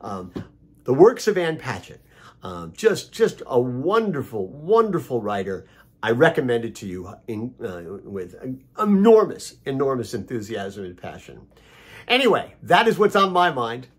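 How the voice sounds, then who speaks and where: A middle-aged or older man speaks casually, as a video host recommending something to followers. His voice is a little rough and husky, and it moves up and down in lively fashion. He leans on key words for emphasis. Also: mid-range voice, unhurried. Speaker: host of a daily video blog. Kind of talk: casual, conversational book recommendation monologue.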